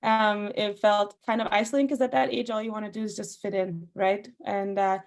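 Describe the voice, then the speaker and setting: A woman's voice: mid-range voice, steady tone, a little fast, gravelly. A young woman singer from Austria whose first language is Farsi, chatting as a podcast guest and laughing often. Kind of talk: casual interview chat.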